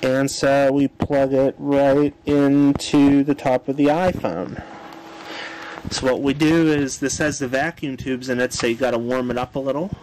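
A man casually demonstrating a home electronics project, unhurried and thinking aloud with fillers, filmed on a handheld camera in a room at home. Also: medium pitch, fairly even intonation, darkish tone, slight background noise, low-quality recording. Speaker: tutorial presenter. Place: home room with old radio.

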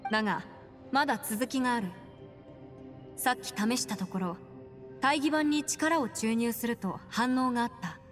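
Actress as a confident young woman, fairly high voice. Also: moderate pace, pauses often, close to an everyday voice. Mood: assured. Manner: assured, explanatory, crisp phrasing. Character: bright, confident young woman legal scholar. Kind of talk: Japanese anime-style game voice acting. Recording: long reverb, music underneath.

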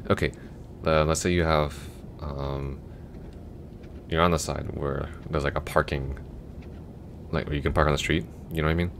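Man playing a chatty affectionate boyfriend, bottomless low pitch, vocal fry, hesitant goofy rambling, casual roleplay audio. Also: level tone, moderate pace, long pauses, slight background noise. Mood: chatty, mildly exasperated. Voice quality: very deep, gravelly.

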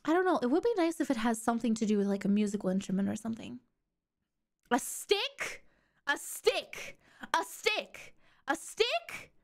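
A woman's voice: fairly high pitch, big pitch swings, normal speed. A young woman streamer giving casual reaction commentary, bubbly, gasping and squealing at what she watches.

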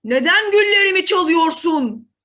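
A woman's voice: very high-pitched, expressive, normal speed, pushed and bright, pitched above the performer's own voice, heavily acted. A woman voicing a fearsome, angry storybook beast with a menacing, thundering delivery. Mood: angry, threatening. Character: fearsome ugly male beast, angry castle owner.

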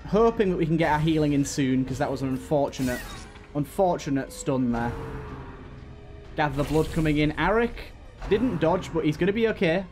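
High-voiced man giving casual game commentary. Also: animated, moderate pace, over music. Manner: talks to the game's characters, repeats phrases. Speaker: gaming host. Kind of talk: casual let's play commentary.

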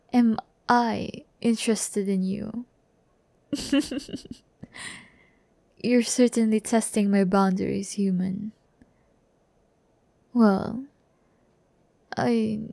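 A woman's voice: medium pitch, gravelly, mellow, no echo. A woman playing a seductive, haughty yet tender demon in an ASMR roleplay, teasing and often near a whisper. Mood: guarded, intimate.

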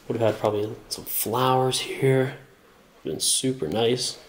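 A man's voice: mid-range voice, measured pace, a little hiss or hum, poor audio. A young man with a cold, chatting casually as he films himself walking through an abandoned house. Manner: enthusiastic, chatty, many fillers. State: sick with a cold. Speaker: urban explorer vlogger. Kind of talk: casual walkthrough narration. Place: empty abandoned house, indoors. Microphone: small camera held at arm's length.

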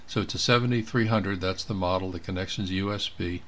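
A man giving an informal step-by-step software demonstration, thinking aloud as he clicks through each step. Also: deep voice, fairly even intonation, brisk, a little hiss or hum. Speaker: presenter of a software tutorial. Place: indoors at a computer.

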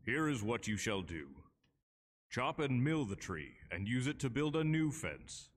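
A man playing a wise, solemn king who is tormented by indecision, in an animated fairy tale. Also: medium pitch, a little slow. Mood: calm, regal.

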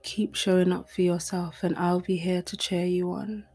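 A woman reading a guided meditation in a soft, breathy, gentle and soothing voice. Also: on the low side, little pitch movement, moderate pace. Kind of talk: guided meditation, scripted narration. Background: music.

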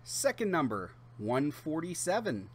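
A man in his thirties speaks casually to camera as a channel host. His voice sits a little higher than most men's. He is chatty, often stretching out pauses as he gathers the next phrase. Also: very animated, breathy edge. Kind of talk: casual direct-to-camera giveaway announcement.

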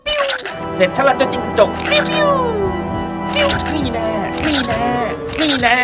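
An actor voicing a mischievous, excitable little boy in a children's puppet show, with shouty repeated exclamations over music. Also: high voice, takes it slowly, exaggerated voice. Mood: playful, excited. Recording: loud music behind the voice.

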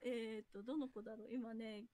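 A woman's voice: a little high, fairly even intonation, a little fast. A woman from a voice drama cast, chatting casually during rehearsal. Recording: very noisy voice call with clicks.

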